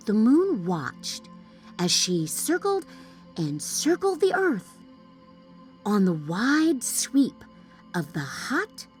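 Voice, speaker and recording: A woman reads a picture book to children with dramatic emphasis. Her delivery is extremely slow and very animated. Faint music plays behind her storytelling. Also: mid-range voice. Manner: dramatic, deliberate emphasis for young listeners. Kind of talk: children's storybook read-aloud.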